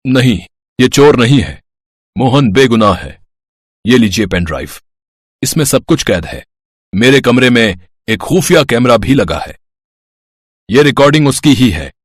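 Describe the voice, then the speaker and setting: A man's voice: mid-range voice, expressive, measured pace, pauses often, no room sound, clean studio sound. A man voicing a wealthy middle-aged employer in a cartoon story, speaking earnestly and apologetically. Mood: insistent.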